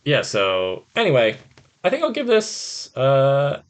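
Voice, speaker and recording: A man with an average-pitched voice speaks as a podcast co-host. He talks about films in a loose, conversational style and swears casually. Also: wildly swooping pitch, slow. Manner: informal, swearing. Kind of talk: casual chat about movies.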